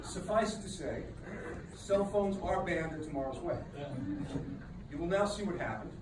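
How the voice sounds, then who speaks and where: A man's voice: a little high, animated, moderate pace. A man giving a humorous wedding rehearsal dinner speech, telling dry, self-deprecating jokes to a laughing crowd. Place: large banquet room with a crowd. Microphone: no microphone, recorded from across the room. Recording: echoey room, distant, loud crowd noise.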